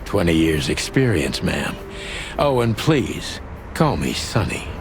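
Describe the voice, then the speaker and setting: A man playing a world-weary ageing rooster detective, wry and deadpan, narrating a noir game. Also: sits low, slow, full character voice. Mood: wry, courteous. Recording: music behind the voice. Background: music.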